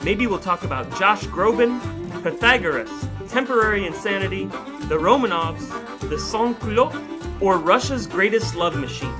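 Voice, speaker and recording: Higher-voiced American man, wry podcast host. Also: animated, normal speed, music in the background. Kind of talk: scripted dramatic reading and podcast chat.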